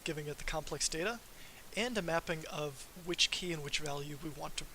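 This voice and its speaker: Bright-voiced man presenting a tech demo. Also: fairly high pitch, a little fast. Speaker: software developer presenting a live demo. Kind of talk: informal technical livestream presentation. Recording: faint background hiss.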